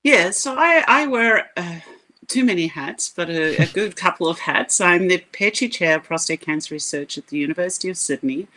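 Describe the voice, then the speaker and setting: A middle-aged woman with a South African accent talks as a guest scientist in a podcast interview. Her voice is bright and clear-edged. She speaks earnestly, with emphatic, passionate conviction. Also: on the low side, lively intonation, moderate pace.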